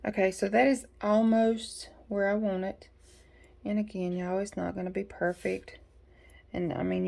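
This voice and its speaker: A woman with a gravelly, creaky edge to her voice talks viewers through a craft project at her home desk. She sounds a little congested from allergies, and keeps up an easygoing, folksy patter as she works. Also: medium pitch, darkish tone. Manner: chatty, folksy, talks to viewers while working. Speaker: craft tutorial host. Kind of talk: casual step-by-step craft tutorial.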